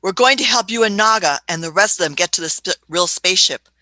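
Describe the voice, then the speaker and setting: A woman playing a brisk, authoritative, eccentric doctor in a radio play, fussy and given to clipped repetitions. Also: mid-range voice, lively intonation, bright, forceful tone, dry recording, low-quality recording. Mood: firm. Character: brisk, authoritative, kindly but impatient eccentric time-travelling doctor.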